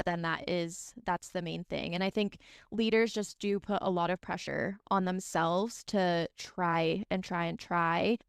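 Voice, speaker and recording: A woman hosting an interview podcast, calm and affirming as she reflects and asks questions. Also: lowish voice, gravelly, clean tone, no echo, studio quality. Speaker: podcast host.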